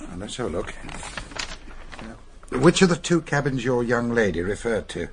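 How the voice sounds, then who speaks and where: An actor playing an inquisitive listener in a radio drama, dry and probing. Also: male voice, medium pitch, sing-song, moderate pace, slight background noise, close to an everyday voice. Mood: curious. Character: inquisitive male listener questioning the detective.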